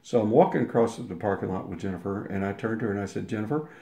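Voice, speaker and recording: Older man, interview guest, rambling storyteller, gravelly, roomy echo. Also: on the low side, fast, dark tone. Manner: rambling anecdotes with restarts and acted-out quotes. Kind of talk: casual storytelling.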